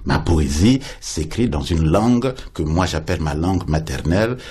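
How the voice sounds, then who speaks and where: A man, a poet guest in a radio interview, speaking slowly and lyrically, often repeating words as he searches for them. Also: deep voice, animated, a little mellow, husky.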